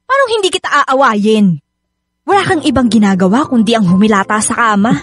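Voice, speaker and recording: A woman's voice: on the high side, expressive, fairly quick, slightly rough, no room sound, clean studio sound. A woman playing a hardworking, nagging and hurt wife and mother in a Filipino radio drama, emotional and scolding. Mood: exasperated. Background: background music.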